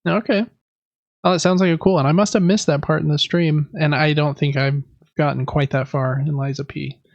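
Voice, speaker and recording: A man's voice: a little high, fairly even intonation, brisk, vocal fry, muffled recording. A man chatting casually as a podcast host. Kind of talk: casual chat about video games.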